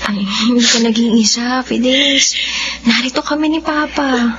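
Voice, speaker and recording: A woman playing a gentle, composed young blind woman in a Filipino radio drama, speaking politely. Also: fairly high pitch, steady tone, measured pace, natural voice. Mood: sympathetic. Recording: muffled audio with faint background noise.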